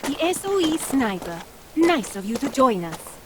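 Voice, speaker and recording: A woman's voice: high voice, big pitch swings, normal speed, noisy background. A woman voicing a proud, commanding Italian resistance leader in a video game, speaking with challenging authority. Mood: brisk, sardonic.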